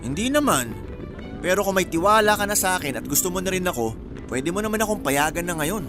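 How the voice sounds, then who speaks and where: A cheeky smitten suitor, high-voiced. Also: male voice, big pitch swings, brisk. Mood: playful pleading. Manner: teasing, flirtatious, easygoing. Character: persistent, cheeky, smitten male suitor, hardworking rice hauler. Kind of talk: radio drama acting. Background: music.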